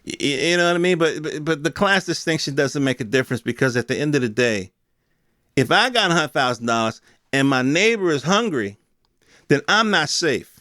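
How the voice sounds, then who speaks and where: A man's voice: a little high, lively intonation, fairly quick, sharp tone, dry recording, clean studio sound. An American man hosting a podcast, giving unscripted news commentary in an emphatic, colloquial, repetitive way with rhetorical questions. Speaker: podcast host.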